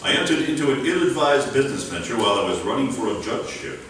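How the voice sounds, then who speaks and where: A man's voice: mid-range voice, very animated, fast talker, a bit bright, a little hiss or hum. A man playing a genial colonial judge in a theatrical, old-fashioned amateur radio play. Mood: rueful. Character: genial colonial judge and uncle in financial trouble. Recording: roomy echo, distant mic.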